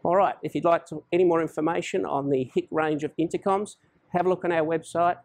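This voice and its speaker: Gravelly man presenting products. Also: a little high, steady tone, fairly quick, darkish tone, no echo. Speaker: presenter for a security installer. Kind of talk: informal product overview to camera.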